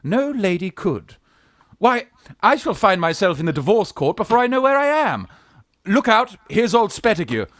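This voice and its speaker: A man's voice: sits high, sing-song, normal speed, a bit bright, no echo. A man playing an indignant, flustered young fellow posing as an old lady in a Victorian farce radio play.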